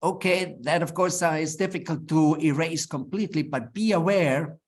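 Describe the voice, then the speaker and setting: Man, Swiss German accent, non-native English, middle-aged, somewhat high voice, earnest advisory talk. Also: normal speed. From Switzerland. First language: not English. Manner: earnest, plain-spoken, with frequent restarts. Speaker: webinar speaker.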